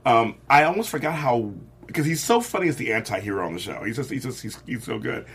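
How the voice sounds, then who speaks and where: Brief: man, eager co-host, rapid chatty delivery, faint room ambience. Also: mid-range voice, animated, fairly bright tone, a little hiss or hum. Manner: enthusiastic, fast and rambling. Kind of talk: casual fan discussion.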